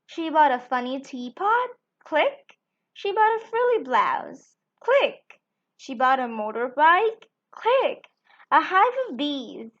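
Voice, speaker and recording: A woman with a high voice reads a children's picture book aloud at an unhurried pace. Her delivery is sing-song and deliberate, made for young listeners. The recording is somewhat dull and lo-fi. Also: big pitch swings, fairly bright tone. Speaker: children's storytime channel host.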